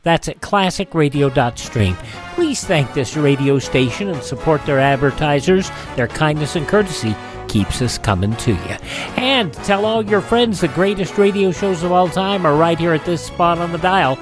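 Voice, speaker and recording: A man's voice: a little high, expressive, normal speed. A man hosting a classic radio show, reading a scripted outro. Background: music.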